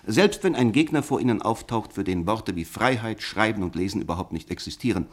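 A man's voice: fairly low pitch, lively intonation, a little fast. An actor in a German radio play playing a worldly, cynical man who lectures pointedly with rhetorical questions. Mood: lecturing, insistent.

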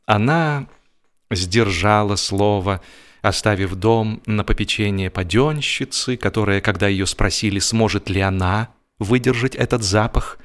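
A man's voice: fairly low pitch, a little slow. A man reading humorous fiction as an audiobook narrator, with theatrical, wry delivery and varied emphasis.

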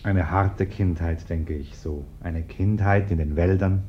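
Low-voiced, hesitant bourgeois householder. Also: male voice, steady tone, measured pace. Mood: affable. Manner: awkward, hesitant, courteous. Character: hesitant, polite, respectable bourgeois householder host. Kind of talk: German radio play acting. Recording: muffled, noisy old radio recording.

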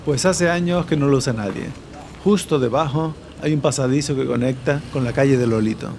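An actor with an accent from Spain playing a savvy, sardonic rebel guide in an audio drama, talking in a confident, colloquial way. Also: male voice, mid-range voice, fairly quick, a little mellow, a little hiss or hum, close to an everyday voice. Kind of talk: scripted audio drama acting.

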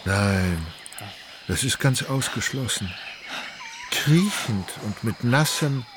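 A man's voice: mid-range voice, expressive, takes it slowly, noisy background. Male radio play narrator over ambience.

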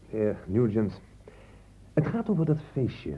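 An actor playing a stern, impatient police inspector in a radio play, blustering and condescending with threats. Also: male voice, medium pitch, sing-song, slow, a little hiss or hum. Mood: impatient, official. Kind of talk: radio play acting in Dutch. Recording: muffled, phone-like old radio recording.

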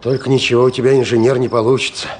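An actor playing an exhausted wartime soldier-artist in a radio drama, gruff and defiant, muttering and cursing to his comrade. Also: mid-range voice, little pitch movement, racing, a little mellow, close to an everyday voice. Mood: weary, bitter. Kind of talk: Soviet radio drama acting.

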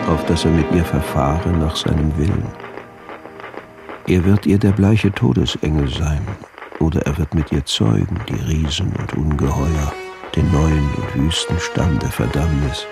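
A man's voice: a bass voice, gravelly. An actor playing a deranged, fanatical doctor who declaims his diaries in solemn scriptural tones for a radio drama. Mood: prophetic menace. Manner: solemn, portentous, obsessive.

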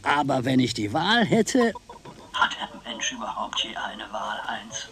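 A man's voice: medium pitch, big pitch swings, measured pace, fairly bright tone. A male actor playing an eccentric old uncle in a radio play, theatrical, rambling and needling, styling himself a dangerous psychopath. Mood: rambling, wistful. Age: older.

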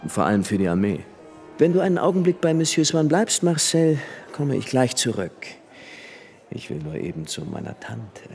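An actor playing a flippant, worldly young French aristocrat and army officer in a radio play, chatting with easy familiarity. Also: male voice, medium pitch, very animated, moderate pace, gravelly, a little mellow, soft background music. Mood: brisk, dismissive. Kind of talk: German radio play dialogue. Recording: long hall reverb.

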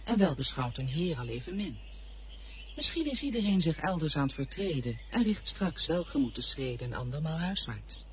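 Poetry reader, very lively lilting delivery, dull lo-fi hissy tape. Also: mid-range voice, normal speed. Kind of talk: poetry recitation.

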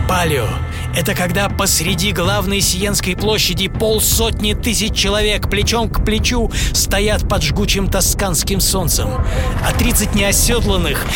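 A man narrating a scripted radio travel piece in a theatrical, expressive way. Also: sits high. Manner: theatrical, expressive, shifting from hushed to excited. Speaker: author and narrator of an audio travel show. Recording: music under the voice. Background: music.

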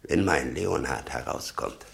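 An actor in a German radio play voicing an embittered, doting old father with a gravelly voice, rambling and brooding with sudden outbursts. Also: rumbling low, measured pace, a bit bright, exaggerated voice. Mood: remorseful, obsessive. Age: older.

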